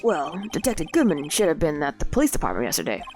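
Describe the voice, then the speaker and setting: Woman doing a goofy loyal cop guy, says pal a lot, lowish voice, swoopy, kinda stuffy from a cold. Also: huge pitch swings, fast, darkish tone, soft background music. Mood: earnest. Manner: folksy, calls people pal. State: sick with a cold.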